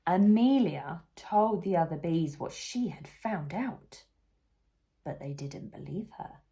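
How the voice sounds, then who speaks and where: Female storybook reader for young children, lively swooping pitch, slow pace, soft-edged mellow tone. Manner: animated, unhurried, asks the listener questions. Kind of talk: expressive storybook read-aloud for young children.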